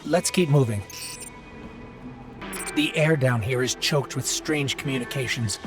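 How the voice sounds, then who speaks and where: A man's voice: medium pitch, measured pace, over music, lightly acted. A man playing an anxious, earnest little robot companion in a video game, with a worried, urgent way of talking.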